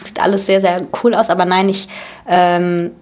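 A woman in her twenties from Germany talks in a relaxed, chatty way. Her voice sits a little low. She often restarts her sentences as she talks through an interview. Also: moderate pace. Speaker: actress and guest. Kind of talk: casual chat.

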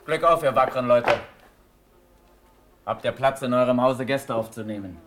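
An actor playing a grave, impassioned cavalry officer, declaiming measured verse in a classical German radio drama. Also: male voice, medium pitch, noisy background, natural voice. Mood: courteous, brisk.

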